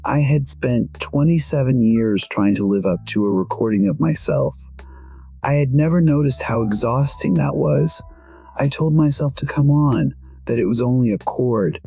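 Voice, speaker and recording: A man voicing a weary, proud musician in his sixties, narrating an audio drama in a measured, reflective way. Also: medium pitch, warm tone, breathy edge. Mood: quiet regret. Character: weary, proud 62-year-old male musician, quietly regretful. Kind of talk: first-person scripted narration, audio drama.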